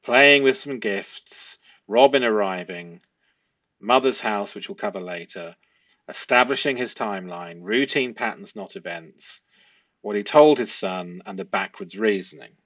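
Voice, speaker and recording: A man giving analytical commentary to viewers as a host, speaking emphatically and deliberately, often with rhetorical tag questions. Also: lowish voice, fairly bright tone, dull audio. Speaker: host analysing a police interview.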